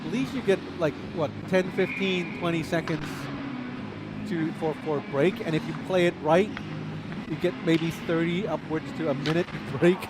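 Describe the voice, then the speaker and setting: A man's voice: on the high side, fairly even intonation, moderate pace, very noisy. A man commentating live ice hockey, a native Chinese speaker, chatty and self-deprecating, laughing between play-by-play calls.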